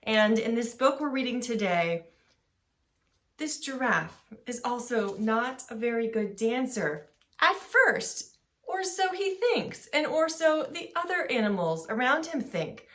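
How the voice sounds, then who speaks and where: Female storybook reader, higher register, very animated intonation, unhurried sing-song delivery for young children. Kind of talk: expressive storybook read-aloud.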